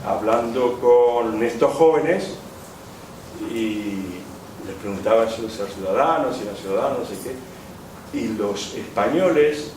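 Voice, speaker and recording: A man's voice: mid-range voice, animated, slow. A man giving an academic lecture, rambling and rephrasing with frequent hesitations. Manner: discursive, rephrases often, many "eh" fillers. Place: lecture hall. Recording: echoey hall, distant mic, background noise.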